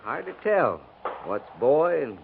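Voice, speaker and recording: An actor playing a plain-spoken, wry frontier army sergeant with rustic, colloquial speech in a 1950s radio western. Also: male voice, medium pitch, very animated, slow, gravelly, phone-quality sound, exaggerated voice. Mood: wry, admiring. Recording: noisy, thin 1950s radio recording.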